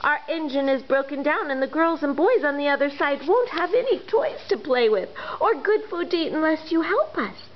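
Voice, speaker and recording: A chorus of little dolls and toys begs in high, earnest voices, as a woman reads them. The storybook pleading rises and falls in lively swings over a dull lo-fi recording. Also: normal speed, hardly any pauses, slight background noise. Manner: begging, earnest.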